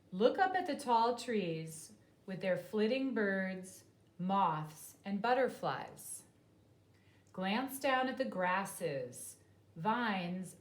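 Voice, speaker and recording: A woman reading a children's nonfiction book aloud like a teacher, in a deliberate, clearly phrased manner. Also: mid-range voice.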